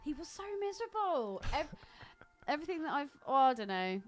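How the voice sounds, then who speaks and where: Higher-voiced southern English woman, gossipy podcast chat. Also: very animated, moderate pace. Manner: slangy, blunt, sweary. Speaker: podcast co-host. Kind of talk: casual chat, gossipy storytelling.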